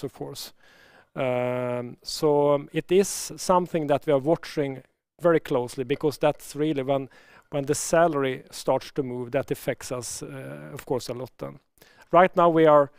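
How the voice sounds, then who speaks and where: A male company executive answers questions on an earnings call. His voice sits a little high for a man and the sound is very dry. He speaks in a measured, hesitant way, often repeating a word before going on. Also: a little mellow, clear, resonant tone, no room sound.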